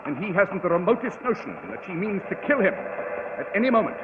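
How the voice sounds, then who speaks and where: An actor playing a decisive detective giving orders in 1940s radio style. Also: male voice, high voice, very animated, fairly quick. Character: brisk, incisive male detective. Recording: tinny, hissy old radio broadcast.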